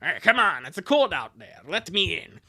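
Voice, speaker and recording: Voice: mid-range voice, big pitch swings, normal speed, gravelly, sharp tone, exaggerated voice. A performer voicing a caring, protective big-sister girl in an earnest cartoon fan dub. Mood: reassuring.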